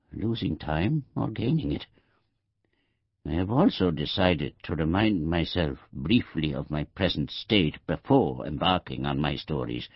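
A bedridden old man near death, narrating a literary audiobook. The voice sits extremely low and crackles with gravel and husk. He ruminates in short, halting phrases, wry and quibbling, on a slightly muffled, dry recording. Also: no echo, exaggerated voice. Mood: weary, wistful. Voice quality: gravelly, husky. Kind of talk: scripted audiobook narration, first-person literary monologue.

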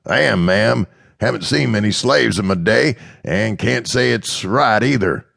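A man voicing a kindly, plain-spoken rural man with a folksy, gently blunt way of talking. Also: on the low side, expressive, measured pace, vocal fry, dry recording, clean studio sound, pitched above the performer's own voice, heavily acted.

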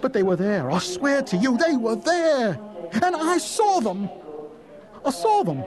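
A man's voice: very high voice, sing-song, a little slow, crowd noise, heavily acted. An actor playing an agitated pilot in an audio drama, speaking insistently with stammers as he recounts what he witnessed. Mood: shaken, awed. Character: agitated male pilot recounting a ghostly sighting. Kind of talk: dramatized radio play monologue.

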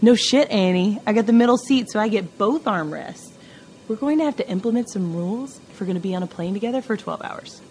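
An actress playing a brash, confident amateur sleuth in an audio drama, blunt and sarcastic. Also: female voice, medium pitch, lively intonation, a little fast, a little mellow, slight background noise, lightly acted. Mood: irritated, sarcastic. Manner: sarcastic, blunt, self-assured.

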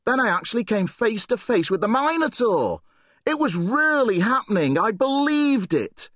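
Man voicing a teenage boy, panicky, breathless, pitched up, swinging wildly. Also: very animated, moderate pace, dry recording. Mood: breathless awe. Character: frightened teenage boy hero. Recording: dry close recording.

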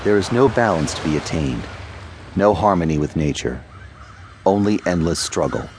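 An actor playing a philosophical, sardonic young fighter pilot in an audio drama, measured and dry. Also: male voice, deep voice, lively intonation, background noise. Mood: brooding, grave. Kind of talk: audio drama narration and dialogue. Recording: music behind the voice.

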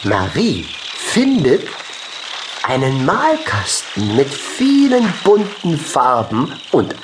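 A man narrating a children's audiobook expressively, in short measured phrases. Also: mid-range voice, noisy background. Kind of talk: scripted children's story narration.

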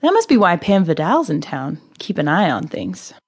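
Breezy, animated woman gossip. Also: on the low side, normal speed, slightly rough, full character voice. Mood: gossipy. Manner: breezy, wry, chatty. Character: breezy, irreverent, gossip-loving woman amateur sleuth. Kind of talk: audio drama dialogue.

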